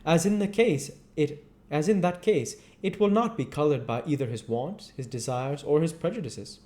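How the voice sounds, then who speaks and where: A man voicing a witty, vain English gentleman from a novel, with an exclamatory, teasing and pompous manner. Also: a little high, normal speed, natural voice. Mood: teasing, opinionated.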